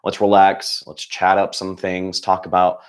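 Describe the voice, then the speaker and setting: A young man hosting a casual livestream, hoarse and close to losing his voice, talking in an upbeat, rambling way with lots of fillers and restarts. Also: low voice, completely flat delivery, a little slow. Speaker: channel host.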